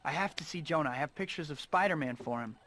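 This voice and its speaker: An actor playing a young, put-upon but earnest superhero in a video game, with a wry, quipping delivery. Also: male voice, on the high side, expressive, fast talker, sharp tone. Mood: earnest, indignant.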